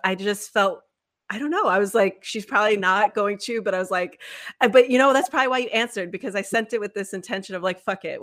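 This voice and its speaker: A woman's voice: medium pitch, no room sound, clean studio sound. A woman hosting a spiritual podcast, reading a scripted welcome and then chatting in a gushing, effusive way with casual swearing. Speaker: podcast host.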